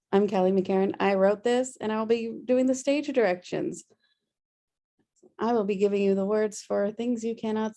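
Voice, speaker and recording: Mellow-voiced woman presenting a script read. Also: medium pitch, gravelly. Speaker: writer and host, stage directions reader. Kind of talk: informal introduction and read stage directions.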